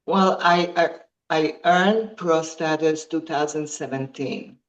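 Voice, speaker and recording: Slow, hesitant woman in her fifties. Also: low voice, dull audio. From Croatia. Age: 50s. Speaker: guest athlete. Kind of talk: podcast interview.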